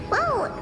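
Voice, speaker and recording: A woman voicing a sweet, playful little girl mentor in a fantasy game, tender and wistful. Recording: long hall reverb under music.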